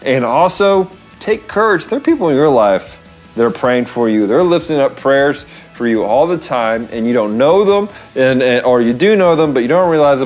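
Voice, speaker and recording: An American man in his thirties talks as a vlog host in a casual storytelling style. His pitch is very lively and he leans on words for emphasis, over faint background music. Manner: animated, expressive, repeats phrases for emphasis. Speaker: show host. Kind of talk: casual storytelling with devotional encouragement. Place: small wood-panelled room, indoors.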